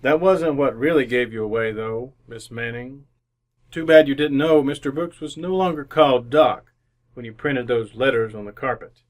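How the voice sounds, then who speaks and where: A man playing a shrewd, methodical police inspector in an old-time radio mystery, with a measured, formal way of questioning. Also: mid-range voice, natural voice. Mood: coolly accusing. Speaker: amateur radio actor.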